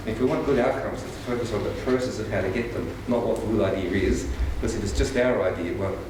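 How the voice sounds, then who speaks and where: A man's voice: on the low side, fast talker. A man presents a workshop and gives encouraging explanations in short, restarting phrases. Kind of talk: facilitating a workshop feedback round. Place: conference hall. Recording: echoey hall, voice far from mic, audible noise.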